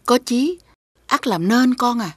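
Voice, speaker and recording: A woman voicing a patient, encouraging village mother, gentle and instructive, in an animated fairy tale. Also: fairly high pitch, slow pace, husky, no echo, clean studio sound, full character voice.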